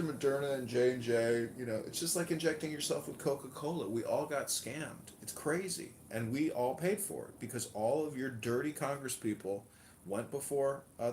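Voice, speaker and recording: A man's voice: medium pitch, roomy sound, slight background noise. A man, a political candidate, talking casually and indignantly in a rambling way with lots of fillers. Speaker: political candidate hosting an audio room.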